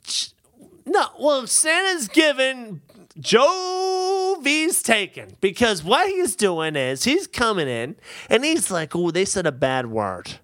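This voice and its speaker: A woman's voice: on the high side, sing-song, takes it slowly, fairly bright tone, no room sound. A woman co-hosting a podcast in casual, opinionated chat, swearing and emphatic with mock outrage. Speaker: podcast co-host.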